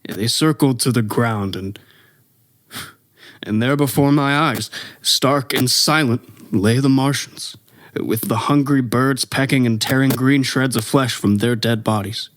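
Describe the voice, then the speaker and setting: A man plays a grave professor looking back on catastrophe in a radio play. His delivery is measured, literary and pensive. The sound is dry, with no room around the voice. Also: mid-range voice, a bit bright, no room sound, close to an everyday voice. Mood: grave, awed. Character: solemn male professor, survivor of a Martian invasion, reflective. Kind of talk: dramatic radio-play monologue, narrated memoir.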